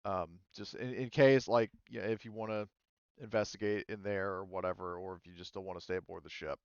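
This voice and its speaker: A man's voice: deep voice, steady tone, brisk, smooth, no room sound, highs cut off. A man narrating as game master, rambling with many fillers and restarts. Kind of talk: narrating a tabletop game, casual.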